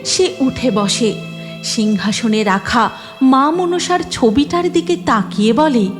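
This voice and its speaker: A woman's voice: fairly high pitch, slow, soft background music. A woman giving scripted narration of a cartoon moral story, in a reverberant recording.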